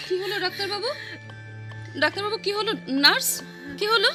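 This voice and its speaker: An anxious young granddaughter. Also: female voice, very high voice, fairly quick, over loud music. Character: grieving young granddaughter, gentle. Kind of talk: radio drama acting.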